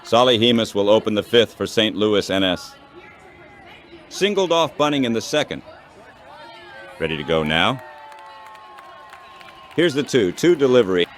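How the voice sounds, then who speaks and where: A man calling baseball play-by-play as an announcer, in short, measured phrases. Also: on the low side, big pitch swings, a faint crowd, slight background noise.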